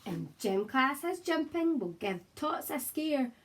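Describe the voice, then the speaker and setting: A woman primary teacher with a slightly husky voice, talking to young children and reading a picture book aloud in an expressive, encouraging way. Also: sits high, unhurried. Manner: expressive, encouraging, simple address to small children. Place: child's room at home, indoors.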